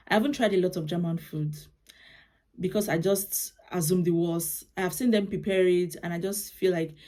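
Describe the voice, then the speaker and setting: A woman's voice: lowish voice, mellow. A young Nigerian woman, a native Yoruba speaker with a Nigerian accent, giving casual advice in a vlog, chatty and often restarting her phrases. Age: young adult. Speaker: vlogger.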